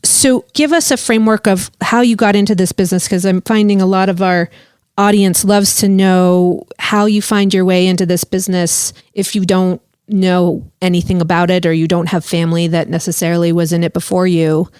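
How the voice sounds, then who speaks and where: A woman hosting a podcast, chatty and quick to laugh, with a slightly scratchy voice. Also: fairly low pitch, flat delivery, a little mellow, clean tone, dry recording. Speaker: podcast host. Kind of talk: casual podcast interview.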